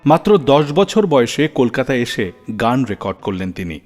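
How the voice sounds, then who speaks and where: A man hosting a radio show, telling scripted stories dramatically with deliberate pauses. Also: mid-range voice, big pitch swings, a little mellow. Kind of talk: scripted storytelling radio narration. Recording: long reverb, music bed behind. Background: music.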